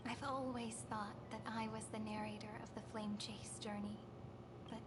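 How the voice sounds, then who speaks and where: A woman playing a gentle, wistful young storyteller in a story game, speaking softly and with measured drama. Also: medium pitch, flat delivery, noisy background, natural voice. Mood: tender, wistful. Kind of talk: scripted narration in a game story. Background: loud music.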